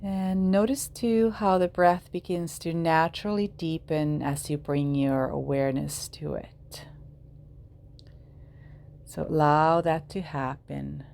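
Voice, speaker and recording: Very slow, low-voiced woman guiding meditation. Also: gravelly, darkish tone, a little hiss or hum. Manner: soothing, measured, with long pauses between short phrases. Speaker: yoga nidra guide.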